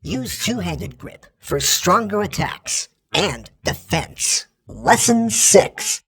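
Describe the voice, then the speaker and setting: An actor voicing an exacting combat training droid in a video game, formal and impassive, with a gravelly, husky robotic voice. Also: male voice, sits high, sing-song, slow pace, fairly bright tone, full character voice. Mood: formal, instructive. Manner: formal, impassive, precise, instructional. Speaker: combat instructor. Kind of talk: scripted video game tutorial lines.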